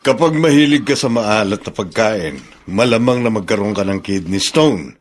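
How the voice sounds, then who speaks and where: An actor playing a calm doctor who explains things in a measured, matter-of-fact way in a radio drama. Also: male voice, lowish voice. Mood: explanatory.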